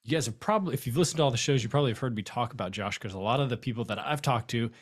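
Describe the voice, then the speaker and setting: A man's voice: mid-range voice, racing, husky, no echo. A man hosting a podcast, talking in a friendly, loose way with lots of fillers, recorded in a podcast studio. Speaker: podcast host. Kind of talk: casual interview chat.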